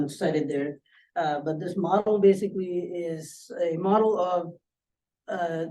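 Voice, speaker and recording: Higher-voiced man, soft-toned, off the mic. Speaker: student presenter. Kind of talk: informal technical presentation. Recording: distant microphone pickup.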